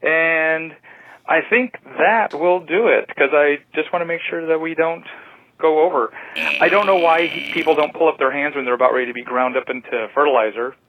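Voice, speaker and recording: A man's voice: a little high, fairly even intonation, normal speed, slight background noise. A man leaving a casual, rambling review as a caller on voicemail, putting his phrases together piece by piece. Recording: dull, lo-fi voicemail phone recording.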